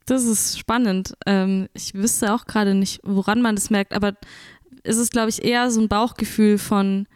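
Smooth-voiced young woman, thoughtful guest. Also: medium pitch, fairly even intonation, normal speed, dry recording, studio quality. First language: Italian and German, bilingual. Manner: thoughtful, hesitant, searching for words. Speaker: musician guest. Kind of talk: casual podcast interview.

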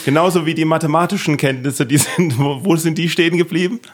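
A man hosting a podcast interview from his small studio, teasing and ironic, often pausing with fillers. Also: fairly high pitch, soft background music. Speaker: podcast host. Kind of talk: casual comedic interview chat.

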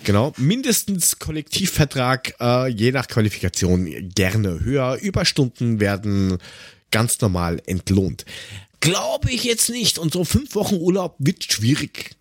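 A middle-aged man chatting casually on a humorous podcast in a halting, wry storytelling manner. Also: mid-range voice, sing-song, slightly rough, no room sound, clean studio sound. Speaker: podcast host.

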